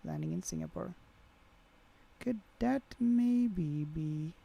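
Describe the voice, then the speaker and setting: A man's voice: sits high, animated, slow pace, vocal fry, mellow, slightly rough. A young man with a Swedish accent, chatting casually on a flight sim livestream and reading out viewer comments, with many fillers. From Sweden.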